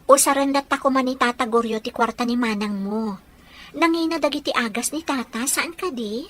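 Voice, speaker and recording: An actress playing a caring, inquisitive young bride-to-be in a radio drama, expressive and questioning. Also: female voice, sits high, moderate pace. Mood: curious.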